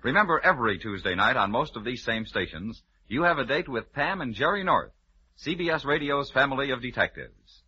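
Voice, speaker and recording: A man's voice: mid-range voice, sing-song, fairly quick, bright, forceful tone, breathy edge. A man announcing in a polished, promotional 1950s network radio style, on a muffled old recording.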